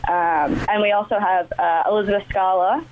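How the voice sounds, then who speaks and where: A young Australian woman answering interview questions in a relaxed way, full of "uh" and "like". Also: medium pitch, moderate pace, phone-quality sound, slight background noise. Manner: relaxed, lots of "uh" and "like". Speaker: ice hockey player guest.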